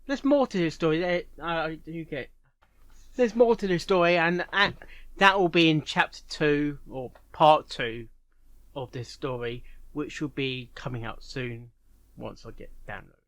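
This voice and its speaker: A man's voice: on the high side, unhurried, a bit bright, no room sound. A man hosting a reaction video, musing and speculating aloud in unscripted commentary.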